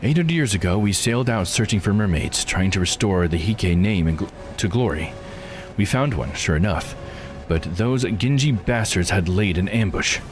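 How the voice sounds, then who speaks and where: A man's voice: deep voice, expressive, normal speed, faint music behind. A man voicing a bitter, brooding, eight-hundred-year-old immortal lord in a visual novel game, delivered with grim, dramatic solemnity. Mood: bitter reminiscence.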